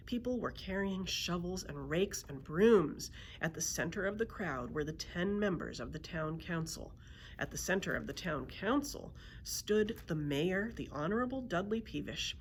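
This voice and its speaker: A woman narrating a children's book aloud as a storyteller, in an expressive read-aloud style. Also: lowish voice, slight background noise. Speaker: librarian storyteller.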